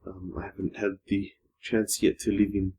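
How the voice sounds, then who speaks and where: A man's voice: deep voice, flat delivery, moderate pace, slightly rough. A young man, a guest in a casual podcast discussion, speaking thoughtfully and hesitantly, often restarting words. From Australia. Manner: thoughtful, hesitant, with restarts, trailing off to a quiet finish.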